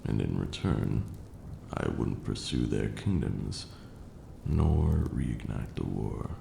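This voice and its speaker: An ancient vampire lord, acted by a man for an ASMR roleplay, brooding and proud yet protective. He speaks formally and very slowly in an extremely low register, with heavy gravel in the throat. Each phrase is measured and dramatic. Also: expressive, echoey room, noisy background, full character voice. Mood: cold, bitter. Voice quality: very deep, gravelly, breathy at times.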